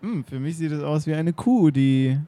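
Ein Mann spielt einen jungen, drahtigen Museumskurator, eifrig und neckend, in einem improvisierten Hörspiel. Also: male voice, on the high side, lively intonation, moderate pace, warm tone, voice pushed up, close to an everyday voice. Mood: teasing. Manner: eager, teasing, giggly. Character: young wiry male museum curator.

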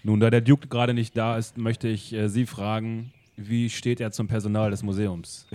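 A man playing a weary, gruff older detective with a terse, deadpan manner in an improvised comic audio drama. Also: on the low side, normal speed, natural voice. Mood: dry, probing.

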